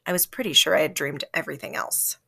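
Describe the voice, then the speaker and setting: A woman reading a children's story aloud as scripted narration, with a dramatic, expressive delivery that varies for effect. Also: low voice, fast, creaky, breathy edge. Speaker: storybook reader.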